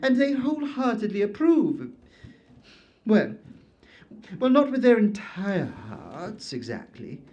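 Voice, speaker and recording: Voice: medium pitch, very animated, a little slow, pauses often, a little mellow, highs cut off. A performer voicing a fussy, pompous wizard who has turned himself into an armoire, stammering when flustered. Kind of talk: audio drama acting.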